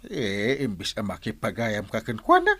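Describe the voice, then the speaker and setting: A man's voice: lowish voice, huge pitch swings, moderate pace, fairly bright tone, breathy edge, dry recording, exaggerated voice. A man playing an exasperated, chiding village husband in a radio comedy drama, with an Ilocano accent. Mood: puzzled, chiding.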